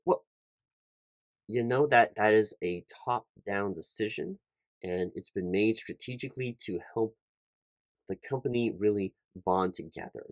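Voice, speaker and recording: A man with a fairly low voice, recorded close and dry. He plays a hiring manager in a one-sided ASMR interview roleplay, talking in polite, officious corporate phrases with a halting delivery. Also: no echo. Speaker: performer playing a recruiting hiring manager.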